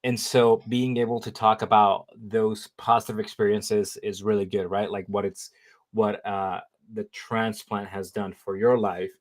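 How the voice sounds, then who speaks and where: A man's voice: on the low side, little pitch movement, a little slow. Rambling man in his thirties. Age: 30s. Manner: rambling, lots of fillers and restarts. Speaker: interview guest, living kidney donor. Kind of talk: casual conversational interview.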